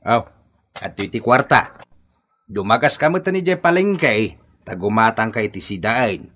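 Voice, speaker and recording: A man's voice: lowish voice, lively intonation, moderate pace, fairly bright tone. An actor playing a bossy older village father-in-law in a radio comedy drama, commanding and grumbling with an Ilocano accent. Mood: instructing.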